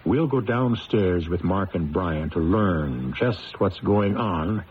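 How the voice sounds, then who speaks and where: A man hosting a radio mystery show, narrating in a measured, theatrical and ominous way. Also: sits low, expressive, slight background noise. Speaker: host of radio mystery anthology. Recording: dull old radio recording, music behind.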